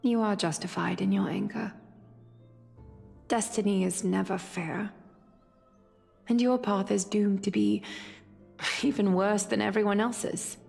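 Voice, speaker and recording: A woman playing a wise, regal, gentle female mentor in a video game, speaking in a measured, knowing way. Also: medium pitch, fairly even intonation, vocal fry. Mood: solemn, reassuring. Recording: reverberant hall, music underneath.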